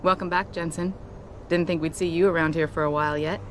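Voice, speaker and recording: An actress playing a brisk, frank helicopter pilot in a video game, speaking in a familiar way. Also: female voice, fairly low pitch, steady tone, lightly acted. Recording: vehicle ambience noise behind voice.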